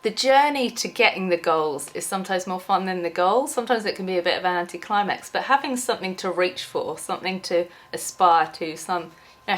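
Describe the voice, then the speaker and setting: Young woman vlogger, slightly low voice, has a cold, rambling and chatty. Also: fairly bright tone. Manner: chatty, rambling, frequent ums and restarts. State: has a cold, losing her voice. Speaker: small YouTuber. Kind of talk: unscripted rambling vlog.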